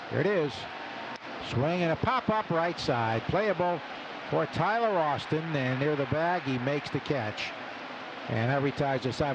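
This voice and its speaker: Higher-voiced male baseball announcer, noisy ballpark. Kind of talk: live radio play-by-play commentary. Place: stadium broadcast booth. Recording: radio broadcast over loud ballpark background noise.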